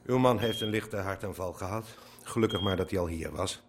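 A man playing a calm, reassuring hospital doctor in a radio drama. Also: sits low, a little fast, a little hiss or hum.

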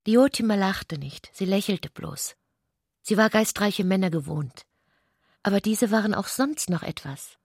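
A woman narrating a literary radio play from a script, in a measured, deliberate manner. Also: medium pitch, lively intonation, husky, no room sound, studio quality.

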